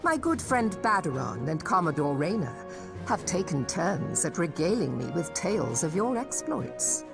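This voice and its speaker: A woman's voice: on the low side, very animated, moderate pace, vocal fry. A woman playing a commanding yet gracious admiral in a fantasy game, with formal, authoritative, old-fashioned phrasing. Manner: formal, authoritative, archaic diction. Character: commanding, gracious female admiral of a seafaring city. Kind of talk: fantasy game cutscene acting. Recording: music under the voice.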